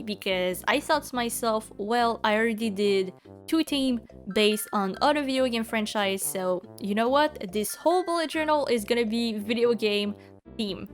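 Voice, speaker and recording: A woman's voice: on the high side, normal speed, faint music behind. A young woman from Quebec with a Quebec French accent, speaking English as a second language in a chatty voice-over, full of ums and likes. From Quebec, Canada. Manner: chatty, many ums and likes, laughs often. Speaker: bullet journal YouTuber. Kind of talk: casual voice-over commentary.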